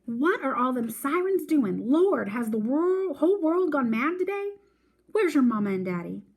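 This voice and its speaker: A woman's voice: high voice, very animated, moderate pace, darkish tone, voice pushed up. Elderly Southern granny, acted by a woman reader, storybook role, folksy, scolding, exasperated, fussy. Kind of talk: storybook read-aloud character voice.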